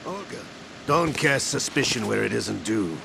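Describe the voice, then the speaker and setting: Game villain, older intelligence officer: very gravelly, formal, evasive, clear background rumble. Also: male voice, sing-song, a little slow, heavily acted. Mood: defensive. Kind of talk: video game cutscene acting. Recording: noisy vehicle-like ambience behind.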